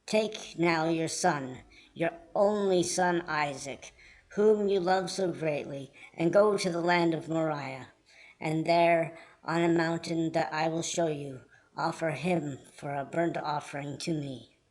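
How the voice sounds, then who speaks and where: Man voicing a solemn, commanding God. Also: fairly high pitch. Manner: slow, emphatic, commanding.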